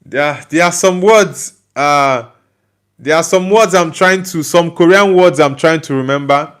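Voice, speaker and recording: A young man with a Nigerian accent hosts a casual live football stream. His voice sits a little high. He talks loosely, with plenty of fillers and restarts as he answers the chat. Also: a little slow, a bit bright, smooth. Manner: loose, hesitant talk with many fillers and restarts. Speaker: football channel host reading live chat.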